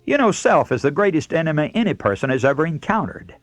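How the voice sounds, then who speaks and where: A man's voice: fairly high pitch, sing-song, quick pace, faint music behind. An American man preaching a radio sermon, speaking as the host in an earnest, emphatic, teaching manner. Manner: earnest, emphatic, didactic delivery. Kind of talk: radio sermon with scripture readings.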